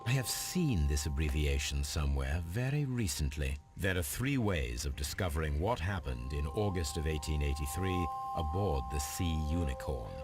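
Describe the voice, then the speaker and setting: A middle-aged Victorian detective speaks in a very deep British voice. He is composed and analytical, with formal, deliberate phrasing suited to a mystery game. Music plays clearly behind him. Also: expressive. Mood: pensive. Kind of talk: video game dialogue and musing aloud.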